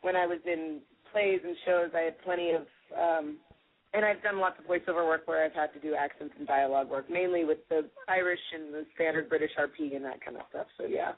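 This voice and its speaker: A woman's voice: low voice, fairly even intonation, brisk. Female singer, radio guest, playful, phone-line sound. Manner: playful, laughs often. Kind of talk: casual interview chat.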